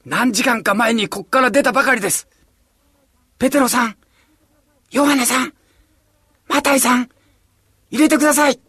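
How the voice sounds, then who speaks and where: A man's voice: very high-pitched, moderate pace, long pauses, sharp tone, breathy edge, dry recording, full character voice. A man playing an earnest, devout disciple in a radio drama, speaking emphatically. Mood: urgent pleading.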